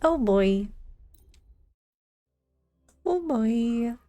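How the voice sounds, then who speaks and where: A woman's voice: on the high side, animated, very slow, dark tone, no room sound, soft background music. A woman giving casual gameplay commentary as a streamer, musing aloud in short reactions. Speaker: game streamer.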